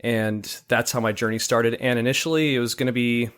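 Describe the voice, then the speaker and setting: A man in his thirties from Hawaii, a guest on a podcast, telling his story in a relaxed, easygoing way. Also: medium pitch, brisk, clear, resonant tone. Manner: relaxed storytelling, laughs easily. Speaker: guest, military lab technician. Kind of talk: casual interview.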